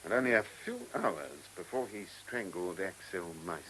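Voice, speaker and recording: A man's voice: low voice, expressive, moderate pace, fairly bright tone, poor audio. A man with a British accent playing a dry, shrewd chief inspector in a radio drama, wry, clipped and questioning. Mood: businesslike. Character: dry, shrewd male police chief inspector, teasing superior. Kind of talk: mid-century British radio drama acting. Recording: old radio recording with faint hiss.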